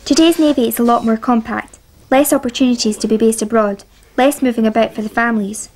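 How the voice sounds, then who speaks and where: A woman narrating her own documentary in a calm, reflective way. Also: a little high, a little fast. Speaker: narrator and filmmaker. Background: music.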